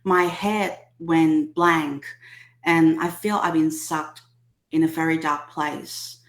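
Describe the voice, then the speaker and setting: A woman, a Cantonese speaker, telling her life story as an interview guest in a halting, soft-spoken way with many pauses. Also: low-pitched, steady tone, reverberant. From Hong Kong. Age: 30s. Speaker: guest, healing mentor.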